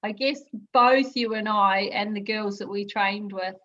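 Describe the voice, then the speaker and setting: A woman hosting a chat show greets her guest with gushing enthusiasm. Her pitch sits in a middle range, and the recording sounds muffled and lo-fi. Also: fairly even intonation, unhurried, fairly bright tone. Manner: effusive, enthusiastic, hesitant with fillers. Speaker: host. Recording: dull lo-fi audio.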